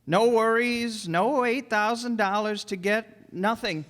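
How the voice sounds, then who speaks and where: A man playing a gentle, guileless elderly guardian angel in a radio drama, courtly and fussy in an old-fashioned way. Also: high-pitched, slow pace. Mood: excited, wondrous. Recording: echoey stage hall, long reverb.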